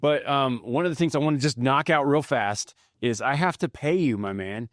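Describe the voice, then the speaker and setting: A man hosting a podcast from a studio, chatting in an upbeat, friendly way with quick bursts and restarts. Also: medium pitch, dry recording. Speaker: podcast host. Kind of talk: casual interview chat.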